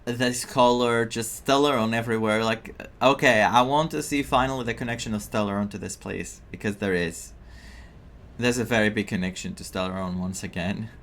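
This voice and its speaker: A man giving casual, excitable playthrough commentary as a game streamer, rambling and searching for words. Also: lowish voice, normal speed. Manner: rambling, excitable, stumbles over names.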